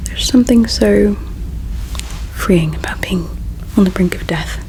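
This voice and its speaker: Whispering, creaky gentle alien woman played for ASMR. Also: mid-range voice, natural voice, rough recording. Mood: wistful, reflective. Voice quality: breathy whisper with creaky edges. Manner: slow, hushed, tender, reflective. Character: gentle female alien, resigned, caring, wistful. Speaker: ASMR performer. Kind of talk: ASMR roleplay, whispered and soft-spoken.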